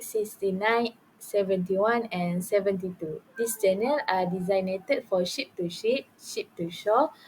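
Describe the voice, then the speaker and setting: A woman's voice: mid-range voice, a little mellow, poor audio. Faint noise sits behind a young woman with a mid-range voice. She explains slides formally, like a student giving a class presentation. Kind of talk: slide presentation read aloud.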